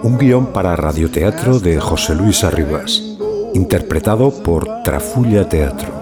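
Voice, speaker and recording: Deep-voiced man announcing over music. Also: expressive, measured pace. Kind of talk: scripted credits narration. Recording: music clearly behind.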